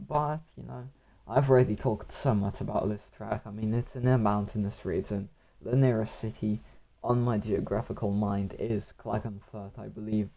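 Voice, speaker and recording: A young man commentating a live online racing league, hoarse with his voice nearly gone, rambling and talking to himself while he waits for action. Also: lowish voice, steady tone, moderate pace, mellow, highs cut off.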